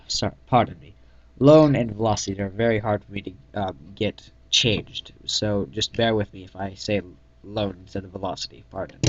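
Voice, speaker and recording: A man giving an amateur dramatic reading of his own story, halting and often stumbling and correcting himself. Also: lowish voice. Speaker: reader of own fan fiction. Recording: dull lo-fi mic in echoey room.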